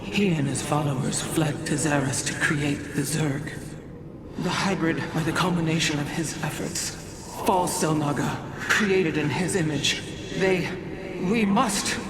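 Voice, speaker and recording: A woman playing a haunted, dutiful alien priestess seer in a game cutscene, speaking gravely and formally, with portentous weight. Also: sits low, a little slow, very noisy. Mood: solemn revelation, then strained resolve. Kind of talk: dramatic game cutscene acting. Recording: loud music behind the voice.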